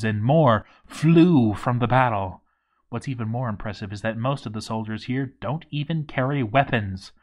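A man reading a game story aloud as dramatic narration, with theatrical emphasis and deliberate pauses. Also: mid-range voice.

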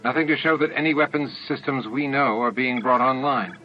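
A man's voice: medium pitch, fairly quick, faint music behind, natural voice. A man voicing a thoughtful, insistent female first officer giving precise reports in a science fiction audiobook. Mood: insistent, puzzled. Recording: dull lo-fi old audiobook tape.

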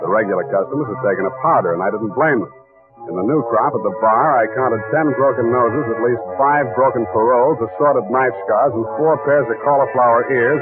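An actor playing a dry, sardonic hardboiled private detective in a 1940s radio drama. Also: male voice, mid-range voice, lightly acted. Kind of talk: 1940s radio acting and narration. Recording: tinny vintage 1940s radio broadcast.

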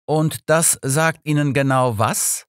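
A man voicing a sardonic, sharp-minded elderly detective in an audiobook, pointed and dryly persuasive. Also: on the high side, takes it slowly, no room sound, clean studio sound, pitched above the performer's own voice. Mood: scornful. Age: older.

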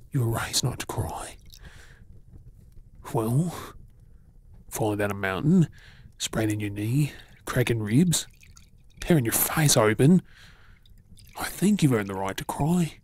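A man acting as a kind Australian rescuer in an ASMR drama, soothing someone who is hurt. He speaks slowly and softly, with a husky, breathy voice and unhurried pauses. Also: mid-range voice, expressive. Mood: soothing, tender. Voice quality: soft, breathy. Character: kind, reassuring male rescuer tending an injured woman. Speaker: ASMR role-play performer. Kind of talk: intimate ASMR role-play drama, spoken softly to the listener.

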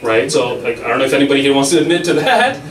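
A young man doing a stand-up set on a club stage with a handheld mic, delivering mock-authoritative rules and quick asides. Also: medium pitch, big pitch swings. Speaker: stand-up comedian. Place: comedy club stage, audience laughing. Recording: echoey club from far off, loud audience laughter.

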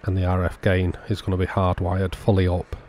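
A man's voice: low voice, completely flat delivery, brisk, a little mellow, slight background noise. A man giving an informal, matter-of-fact voice-over, explaining a hands-on repair step by step as the host. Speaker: host of a radio repair channel. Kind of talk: informal scripted-sounding voice-over narration of a repair.

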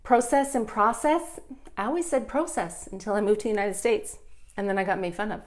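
A woman's voice: mid-range voice, fairly quick. A woman in her thirties with a Canadian accent, chatting through a live furniture painting tutorial while she works in a room at home. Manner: chatty, talks while working, asks viewers questions.

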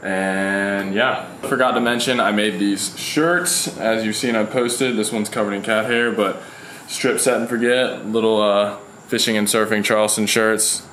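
A man's voice: lowish voice, steady tone, measured pace, fairly bright tone. A young man vlogging casually about fishing, rambling with fillers, recorded first in a garage and then out on the water. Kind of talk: casual vlog talk. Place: home garage, then a paddle board out on the marsh. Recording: echoey garage, then windy outdoor camera audio.

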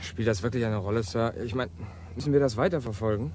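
An actor playing a dutiful, deferential junior police officer in a German radio crime drama, brisk and matter-of-fact. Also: male voice, medium pitch, a little mellow, a little hiss or hum, natural voice. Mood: doubting.

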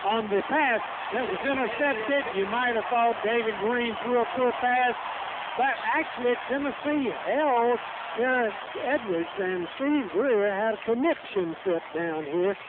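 Very high-voiced man on noisy radio. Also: measured pace. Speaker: color commentator. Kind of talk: football radio commentary. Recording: noisy, tinny radio broadcast.

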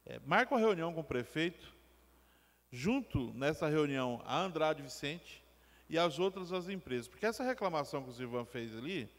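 A man with a slightly high voice speaks with insistence and emphasis as a councillor during a meeting. He sits at a table microphone, and the long reverb of the chamber trails behind his words. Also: animated, normal speed. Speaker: city councillor. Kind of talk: council floor remarks. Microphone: table gooseneck mic.

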